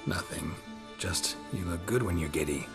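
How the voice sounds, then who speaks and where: A man voicing a gruff, dry-witted monster hunter in a video game, deadpan and laconic. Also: sits low, moderate pace, over music. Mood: dry, teasing.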